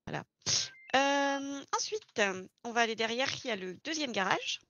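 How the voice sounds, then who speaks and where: A woman's voice: high-pitched, lively intonation, moderate pace, a bit bright, dry recording, muffled recording. A woman explaining things step by step in an informal, casual roleplay chat. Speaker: experienced garage employee training a new recruit in online roleplay.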